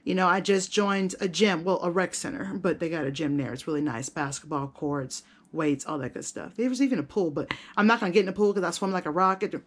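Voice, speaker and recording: A woman chatting casually to her viewers as a vlogger, candid, self-deprecating and rambling, with slangy language and casual swearing. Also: lowish voice. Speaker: video blogger and content creator. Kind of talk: casual vlog chat to viewers.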